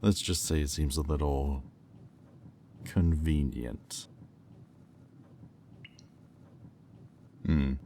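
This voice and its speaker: A man playing a haughty, sardonic demon bidder in an audio roleplay, speaking with condescension and deliberate pauses. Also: a bass voice, fairly even intonation, mellow, slight background noise, full character voice. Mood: cool, probing.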